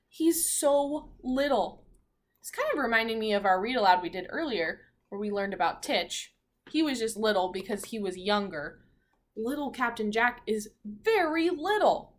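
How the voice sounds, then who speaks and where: A woman primary school teacher reading a picture book aloud to children, expressive and instructive, pausing to put questions to the class. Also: on the high side.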